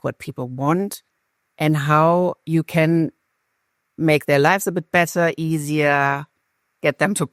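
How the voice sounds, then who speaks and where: A woman's voice: sits low, dry recording. Give me a middle-aged woman with a German accent, chatting openly in an interview, on a dry close mic. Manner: lively, self-deprecating, halting restarts. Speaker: guest, marketing executive. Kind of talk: candid conversational interview.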